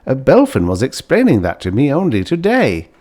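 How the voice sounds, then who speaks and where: A man voicing an earnest, naive, priggish young man in an audiobook story, stiff and indignant, halting as he searches for words. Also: medium pitch, huge pitch swings, a little mellow, voice pushed up. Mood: earnest, tight.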